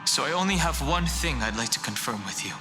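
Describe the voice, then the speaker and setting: An actor playing an earnest, gentle young man in a game, speaking carefully and sincerely as a cautious hero. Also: medium pitch, animated, fairly quick, long reverb tail, over music, close to an everyday voice, poor audio. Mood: serious, concerned. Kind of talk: scripted game dialogue.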